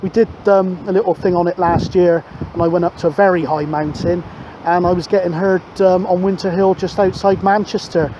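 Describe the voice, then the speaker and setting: A man's voice: sits high, steady tone, a little fast. A man chatting casually as a CB radio operator, matey and rambling, outdoors on a windy seashore. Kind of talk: casual radio chat and narration to camera. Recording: dull outdoor recording, clear wind noise. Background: wind and outdoor ambience.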